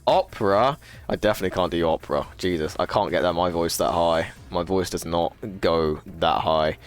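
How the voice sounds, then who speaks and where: A man's voice: moderate pace, clear, resonant tone, faint music behind. A man in his twenties, chatting casually and profanely to a live stream audience, rambling and laughing often. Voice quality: low voice. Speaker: livestreamer.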